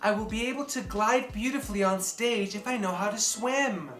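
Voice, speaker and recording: A woman voicing a confident, excited little girl in a storybook, expressive and a bit showy. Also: medium pitch, moderate pace, pitched above the performer's own voice, natural voice. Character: confident, excited little girl who loves being unique. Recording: roomy echo, music behind.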